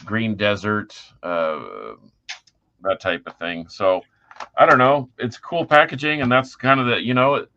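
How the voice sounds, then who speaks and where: A man's voice: lowish voice, lively intonation, measured pace, bright, forceful tone, highs cut off, slight background noise. A middle-aged man chatting casually and enthusiastically as a music podcast co-host, using informal slang.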